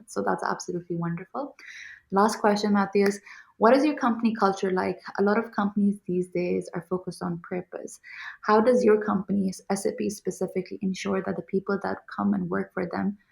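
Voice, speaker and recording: A woman's voice: medium pitch, fairly even intonation, a little fast, creaky, a little mellow. A young woman from Pakistan interviewing a guest, polite and affirming, thanking him often. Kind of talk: interview questions.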